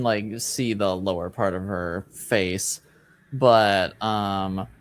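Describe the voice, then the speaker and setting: A man narrating a tabletop game as game master, improvising casually and haltingly. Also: mid-range voice, clean tone. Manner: hesitant, halting, pieces descriptions together.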